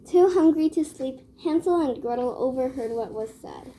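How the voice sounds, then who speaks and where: A woman storyteller narrating a children's read-aloud tale with measured phrasing. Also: high voice, lively intonation, mellow, a little hiss or hum.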